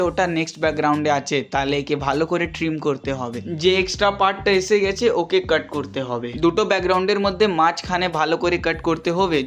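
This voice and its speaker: A man, peppered words, narrating a software tutorial step by step as an instructor. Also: on the high side. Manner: instructional, repetitive step-by-step phrasing.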